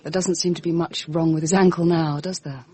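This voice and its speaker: An actress playing a languid, cynical upper-class English society woman in a radio drama, with a husky voice and an arch, detached way of talking. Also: deep voice, steady tone, fairly quick, dark tone, natural voice. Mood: cool, gossipy. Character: languid, cynical, world-weary society woman, coolly gossipy.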